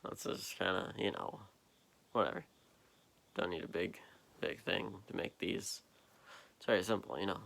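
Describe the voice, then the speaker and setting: Tired young man with a deep voice. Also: gravelly, fairly bright tone. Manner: tired, halting, lots of fillers and trailing off. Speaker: vlogger. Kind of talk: rambling late-night monologue. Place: quiet basement at night. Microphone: phone propped up nearby.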